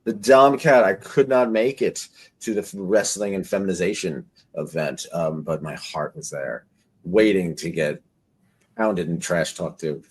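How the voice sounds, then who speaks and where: A man in his forties hosting an interview, talking in a rambling, halting way with many fillers. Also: low voice, husky. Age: 40s. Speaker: host. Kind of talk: podcast interview.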